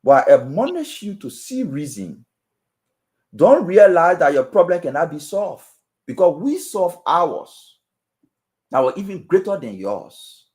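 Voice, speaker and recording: A man's voice: a little high, big pitch swings, unhurried, a little mellow. A man with a Liberian accent, speaking as a guest on a political talk show with an emphatic, preaching cadence and repeated phrases. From Western Liberia. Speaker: panel guest.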